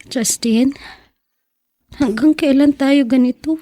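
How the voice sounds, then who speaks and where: A woman's voice: sits high, slow, warm tone. An actress playing a poor, naive and devoted young woman in a Tagalog radio drama. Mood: pleading, devoted.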